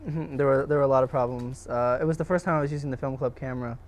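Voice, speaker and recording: A man's voice: mid-range voice, steady tone, fast. A young man, a student filmmaker, answering interview questions casually in a hesitant, self-deprecating way. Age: young adult.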